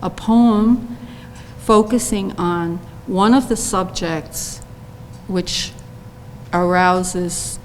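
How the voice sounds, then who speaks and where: An older woman, giving a formal scripted introduction with careful, deliberate phrasing. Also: medium pitch. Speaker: poet laureate introducing a poet. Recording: long hall reverb, faint noise.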